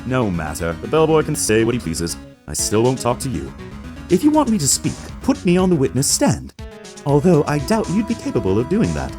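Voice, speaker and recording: A man's voice: mid-range voice, wildly swooping pitch, brisk, over music. A man voicing a smug, flamboyantly arrogant company president in a theatrical video game fan dub, with a pompous, grandiose way of talking. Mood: smug, taunting. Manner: pompous, grandiose, mangles big invented words.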